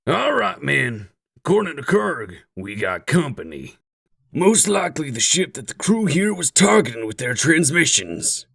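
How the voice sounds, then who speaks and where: An actor playing a gruff, commanding raider leader in a sci-fi comic dub, his voice gravelly and husky. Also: fairly high pitch, big pitch swings, unhurried, a bit bright, no echo, full character voice. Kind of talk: comic dub villain acting.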